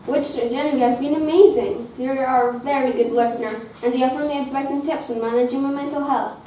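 A young student playing a gentle young princess in a school play, reading earnestly from her script. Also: high voice, fairly even intonation, fast talker, slight background noise, lightly acted. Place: school drama room, indoors. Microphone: far camera. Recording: echoey room from far off.

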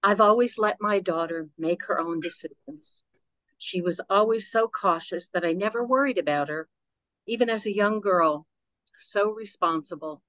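An older woman playing a watchful, protective mother of a grown daughter, speaking in a measured way at a table read. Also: medium pitch, fairly bright tone, dry recording, close to an everyday voice, poor audio. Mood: reflective, probing. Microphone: video call microphone.

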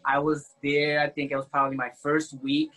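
A man's voice: on the high side, fairly quick. Young man telling a story on a video call. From born in Haiti, grew up in the United States. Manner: relaxed storytelling with filler "like" and "you know". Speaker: round table guest. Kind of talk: casual video call chat. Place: indoor living room, sitting beside a woman. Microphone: laptop camera on a video call.

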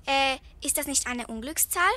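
A woman's voice: sits high, normal speed, bright, forceful tone, dry recording. A young woman acting a blunt, nosy girl detective in an amateur radio play. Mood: eager, picky.

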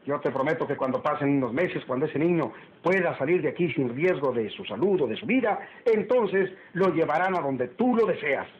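An actor playing a stern, commanding master of a household in a radio drama, speaking emphatically. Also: male voice, a little high, expressive, normal speed, hardly any pauses, lightly acted. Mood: firm, insistent. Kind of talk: old radio drama acting. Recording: dull, noisy old radio recording.

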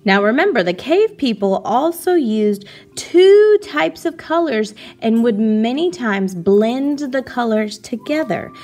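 Slow, patient woman teaching art. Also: a little high, very animated, darkish tone, soft background music. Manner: slow, patient and clearly instructing children. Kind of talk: step-by-step classroom art lesson voice-over.

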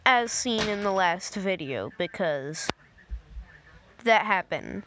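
A young woman casually commenting on a driving game, voice a little raspy from just waking up, rambling in a playful way. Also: medium pitch, animated, takes it slowly, a bit bright, slight background noise. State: slightly raspy, just woken up. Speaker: gameplay video maker. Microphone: phone screen recorder.

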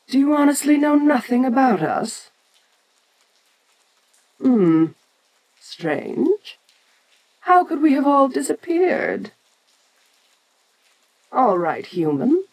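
A woman playing an ancient, domineering giant kaiju in an audio roleplay, haughty, deliberate and curious, talking down to a lesser creature. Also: high voice, big pitch swings, exaggerated voice, poor audio.

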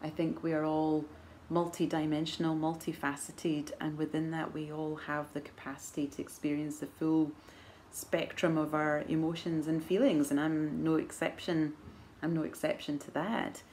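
A woman in her forties with a soft Edinburgh Scottish accent, chatting to camera at home and answering viewer questions in a thoughtful, measured way with gentle laughs. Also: deep voice, little pitch movement, slight background noise. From Scotland. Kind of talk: casual chat to camera. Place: living room at home, indoors. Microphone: camera in front at arm's length.

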